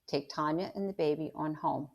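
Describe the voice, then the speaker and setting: A woman reading aloud and voicing a tired, stern but gentle working father, who talks slowly and calmly. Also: sits low. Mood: weary, serious.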